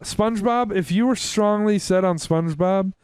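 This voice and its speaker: A man's voice: high voice, normal speed, darkish tone, clear, resonant tone, no echo. A man chatting casually as a podcast co-host, steering the discussion decisively. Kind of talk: casual chat.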